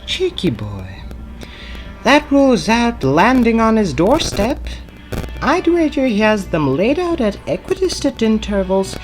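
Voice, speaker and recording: A woman's voice: on the high side, sing-song, measured pace, soft background music. A woman playing an arch, sardonic renegade time-traveller in an audio drama, with a mocking, drawling, theatrical manner. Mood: dry scepticism.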